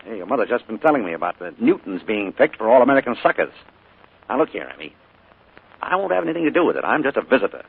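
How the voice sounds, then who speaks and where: Charming, evasive middle-aged uncle, raspy, lo-fi 1940s radio. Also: male voice, medium pitch, very animated, fast, exaggerated voice. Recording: tinny old radio broadcast.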